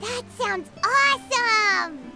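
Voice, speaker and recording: A woman voicing a small, perky, chatty fairy companion in a video game, excitable and childlike. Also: very high voice, drawn-out words, loud music behind the voice, full character voice. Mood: amazed. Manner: refers to self in third person, excitable.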